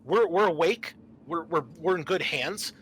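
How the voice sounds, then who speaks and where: A man's voice: on the high side, a little slow, fairly bright tone, husky, voice pushed up. A man voicing an indignant, protective blonde woman crew member in a tabletop game, protesting insistently.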